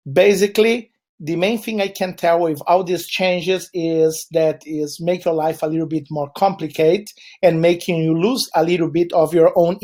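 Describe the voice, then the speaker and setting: A man's voice: on the high side, darkish tone. A man from Chile with a Brazilian Portuguese accent, Portuguese his first language, giving a webinar presentation in a meandering way with frequent fillers.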